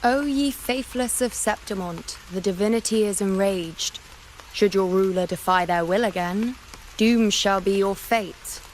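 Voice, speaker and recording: A woman playing a sharp-tongued, proud young priestess in a video game, wry and dramatic in her delivery. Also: mid-range voice, unhurried, a little hiss or hum, lightly acted, rough recording. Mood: mock-ominous.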